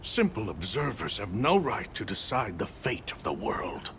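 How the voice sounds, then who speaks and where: A man playing a humble, solemn keeper of ancient lore in a video game, speaking in a measured way. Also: lowish voice, very animated, fairly bright tone, a little hiss or hum, exaggerated voice. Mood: resigned. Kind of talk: video game cutscene acting.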